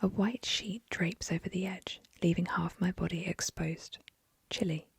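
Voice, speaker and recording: A woman whispering softly into a close microphone, reading a short story aloud as ASMR. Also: on the low side, fairly even intonation, unhurried, vocal fry, slightly rough, no echo.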